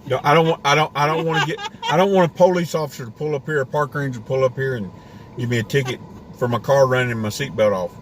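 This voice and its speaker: A man's voice: mid-range voice, fast. Man, live stream host, ranting and rambling, worn voice, parked car, faint background noise. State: voice getting worn. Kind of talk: casual live stream rant.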